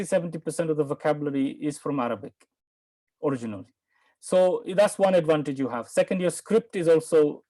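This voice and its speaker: A man's voice: on the high side, darkish tone, poor audio. Patient man teaching on a video call. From Bangladesh. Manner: patient, explains slowly with repeats and apologies. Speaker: Quran teacher. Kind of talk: online Q&A lesson.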